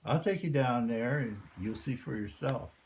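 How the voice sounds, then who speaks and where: A man's voice: mid-range voice, moderate pace, darkish tone, lightly acted. A man voicing an earnest, eager enslaved man in a folktale, speaking in folksy dialect. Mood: confident. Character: earnest enslaved young man, dreamer, pleading his case.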